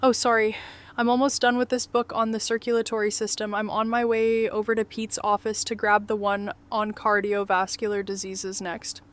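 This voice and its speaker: A woman's voice: mid-range voice, little pitch movement, moderate pace, clear, resonant tone, lightly acted. An actress playing a bookish, self-doubting woman doctor in an audio drama, with a fussy, protesting manner. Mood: distracted.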